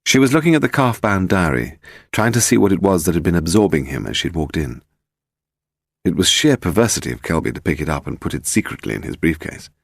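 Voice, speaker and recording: A man's voice: low-pitched, sing-song, a little fast, gravelly, no room sound, clean studio sound. A man with a British accent reading a story as a scripted audiobook narrator.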